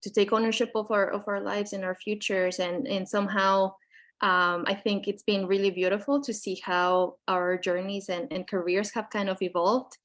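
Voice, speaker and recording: A woman guest reflecting on her advocacy work, speaking haltingly with many restarts. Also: medium pitch, little pitch movement. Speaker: guest, immigrant rights advocate. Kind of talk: podcast interview answers.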